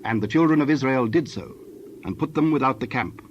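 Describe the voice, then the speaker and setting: A man narrating scripture from a script in a formal, measured manner. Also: medium pitch. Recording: dull band-limited sound, faint background noise.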